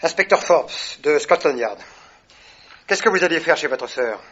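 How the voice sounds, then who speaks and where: A man voicing a curt police inspector, slightly high voice, dull lo-fi radio play recording. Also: expressive, normal speed, fairly bright tone. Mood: pressing. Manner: curt, pressing questions. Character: brusque male police inspector. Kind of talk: radio drama acting. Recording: dull lo-fi sound.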